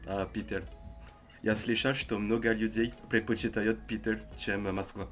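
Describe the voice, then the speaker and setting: Halting man in his twenties. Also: lowish voice, level tone, fairly bright tone, faint music behind. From France. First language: French. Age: 20s. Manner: halting, hesitant with many fillers. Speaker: language learner on a video chat. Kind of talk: casual video chat in a learned language.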